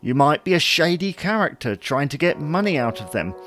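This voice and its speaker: A man's voice: on the high side, sing-song, brisk, music in the background. A man playing a teasing, sceptical husband in a comedy audio drama, wry and reasoning. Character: teasing, sceptical husband, cautious about money.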